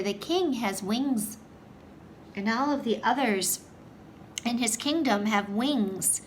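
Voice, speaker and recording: High-voiced woman reading to young children. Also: slight background noise. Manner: simple, deliberate phrasing aimed at small children. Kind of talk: read-aloud storytelling with simple questions to young children.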